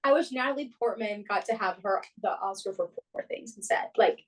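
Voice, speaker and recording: A woman in her twenties co-hosting a podcast, animated and playful, often breaking into giggles. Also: mid-range voice, fairly quick, rough recording. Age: 20s. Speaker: podcast co-host. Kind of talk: casual podcast chat.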